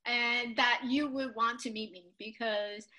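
Woman, very bright tone, somewhat high pitch, earnest personal storytelling. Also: fairly even intonation, unhurried. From Taiwan, moved to the United States at fifteen. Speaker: podcast guest, author.

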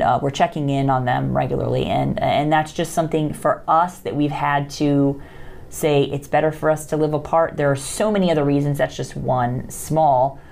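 Woman, low voice, podcast host, chatty advice talk, ums and restarts. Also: creaky, darkish tone. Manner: conversational, many fillers and restarts. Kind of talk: casual advice talk, answering listener questions.

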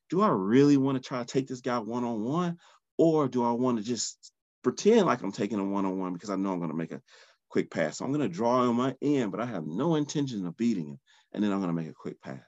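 A man hosting a solo podcast in a groggy early-morning voice, talking in an animated, conversational way and often restarting his phrases. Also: mid-range voice, dry recording, dull audio. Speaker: podcast host, soccer training founder.

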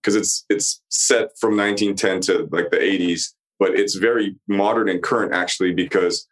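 Blunt low-voiced dude in his 40s, swears a lot, casual podcast chat. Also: steady tone, normal speed, poor audio. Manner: blunt, heavy swearing, emphatic. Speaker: podcast co-host. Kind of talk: casual chat about a TV show.

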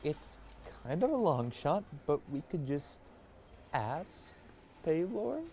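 A man's voice: on the high side, sing-song, slow pace, warm tone, a little hiss or hum, exaggerated voice. A man playing an earnest, hesitant young wizard scholar explaining things in a comedic fantasy audio drama. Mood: tentative.

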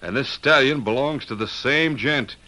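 An American actor playing a gruff, drawling, menacing outlaw gang leader in an old-time radio western. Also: male voice, mid-range voice, normal speed, pushed and bright, natural voice. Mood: menacing, hard. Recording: noisy vintage radio recording with clicks.

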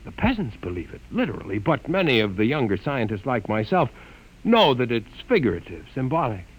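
An actor playing a proud but frightened young male scientist in a 1950s radio drama, earnest and quick to indignation. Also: medium pitch, sing-song, breathy edge, rough recording. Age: young adult. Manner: earnest, indignant, often alarmed.

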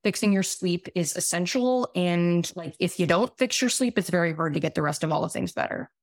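Low-voiced raspy woman doctor explaining. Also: lively intonation, brisk, dry recording. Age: 30s. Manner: explains at length with many fillers and restarts. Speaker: guest physician. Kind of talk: casual podcast conversation.

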